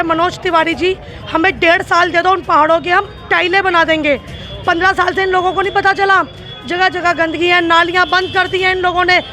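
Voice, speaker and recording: A hoarse woman party worker speaking emphatically in a street interview, asking rhetorical questions. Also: extremely high pitch, sharp tone.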